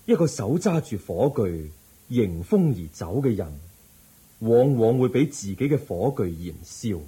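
An actor playing a serene, solemn Buddha who preaches calmly in a Cantonese radio drama, with a Hong Kong Cantonese accent. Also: male voice, medium pitch, husky, full character voice. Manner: measured, calm, didactic.

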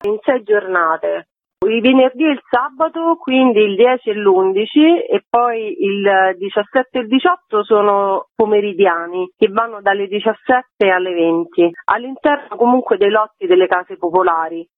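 A woman's voice: medium pitch, normal speed. A woman speaking as a guest festival curator in a radio interview, explaining her project. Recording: tinny phone line on radio.

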